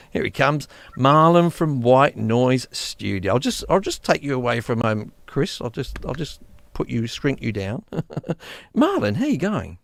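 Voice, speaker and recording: Casting: male stream host, lively pitch, slightly gravelly, dry close mic. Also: a little slow, no echo. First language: English. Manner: chatty, laughing, restarts, lively. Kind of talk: casual live-stream chat.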